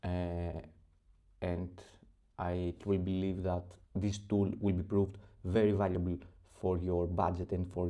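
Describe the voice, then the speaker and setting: Greek man, non-native English, low-set voice, calm unhurried walkthrough of a spreadsheet. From Greece. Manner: methodical step-by-step explaining with frequent pauses and fillers. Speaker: spreadsheet template creator. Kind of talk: screen-recorded software tutorial.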